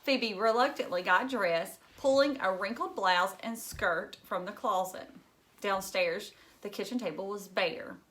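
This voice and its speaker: A woman's voice: medium pitch, a little slow, a bit bright. A woman reading a children's novel aloud expressively, like a teacher narrating to pupils.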